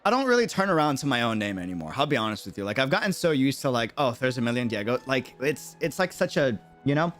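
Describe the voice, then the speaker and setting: A man from New York City with an American accent, chatting casually on a game livestream in a rambling, slangy, animated way. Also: mid-range voice, quick pace, hardly any pauses, soft background music. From New York City, United States. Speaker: game streamer. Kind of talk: casual livestream chat and reactions.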